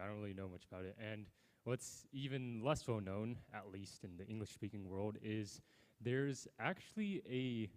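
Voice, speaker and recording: Laid-back young man, low mellow voice. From United States. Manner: relaxed, rambling with many fillers. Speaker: open mic performer. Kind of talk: casual stage chat. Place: outdoor amphitheater.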